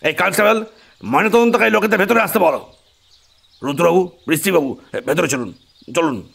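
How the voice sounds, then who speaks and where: An actor playing a bossy, exasperated police officer in an audio drama, barking orders impatiently in a raspy voice. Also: male voice, high voice, lively intonation, fairly quick, a bit bright, heavily acted. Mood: indignant.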